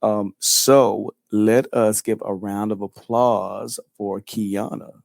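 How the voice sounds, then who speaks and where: A playful male podcast co-host who chats loosely and fills his talk with ums and likes. His voice sits a little low, and the recording is dry and close with no room sound. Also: steady tone, takes it slowly, gravelly, darkish tone, no echo. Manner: playful, chatty, many fillers. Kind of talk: casual podcast chat.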